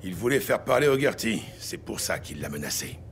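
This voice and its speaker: An actor playing a tough, blunt New York police detective in a French audio drama, pressing and insistent. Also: male voice, sits low, sing-song, brisk, creaky, slight background noise, exaggerated voice. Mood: explanatory. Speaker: police detective interrogating suspects. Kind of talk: dramatic audio series acting.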